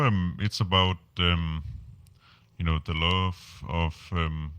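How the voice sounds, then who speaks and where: A man's voice: low voice, a bit bright, clear, resonant tone, dry recording. A Danish man speaking English as a podcast guest, talking casually with lots of fillers and restarts. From Denmark. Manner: halting, many fillers and restarts. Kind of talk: casual interview chat.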